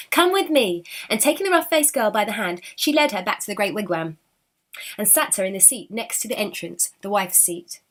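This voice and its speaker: Woman, children's storybook narrator, expressive, dramatic, deliberate emphasis, medium pitch. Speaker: storyteller reading a children's picture book.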